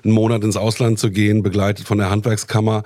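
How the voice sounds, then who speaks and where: A man's voice: lowish voice, flat delivery, quick pace, darkish tone, no room sound, studio quality. A man hosting a podcast interview, asking friendly follow-up questions in a conversational way. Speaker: podcast host.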